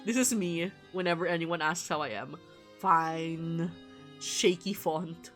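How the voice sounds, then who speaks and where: A woman's voice: fairly low pitch, slow pace, soft background music. A woman livestreaming a visual novel, reading game text aloud and reacting with giggly, irreverent, slangy asides. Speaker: streamer playing a visual novel.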